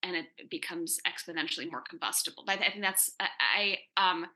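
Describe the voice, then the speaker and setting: A woman with a very bright, sharp-edged voice on the lower side speaks as a writer on a panel. She is informal and halting, doubling back on words as she works out her answer. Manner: halting, often repeating words as she thinks aloud. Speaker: comic book writer answering questions in a panel. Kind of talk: casual, conversational panel discussion.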